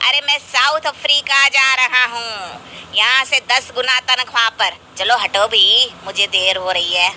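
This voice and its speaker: A snooty, boastful man in a children's cartoon , acted out by a performer. He speaks with dismissive superiority in a bright, forceful voice. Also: medium pitch, measured pace, slight background noise, rough recording. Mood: smug, dismissive.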